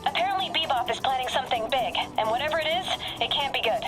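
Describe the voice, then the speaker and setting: An actress playing an eager, excitable young woman reporter who talks fast and chatty. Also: high voice, music in the background, rough recording. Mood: alarmed. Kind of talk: video game cutscene acting.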